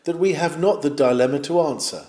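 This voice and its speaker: A man's voice: on the high side, fairly quick, warm tone. Man reading an old sermon, roomy echo. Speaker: sermon reader. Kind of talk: reading a 19th-century sermon aloud.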